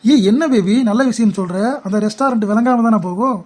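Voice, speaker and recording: Cartoon parody, woman playing envious middle-aged husband, soft dark tone, evasive, grumbling. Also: on the high side, brisk, close to an everyday voice. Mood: wheedling, envious.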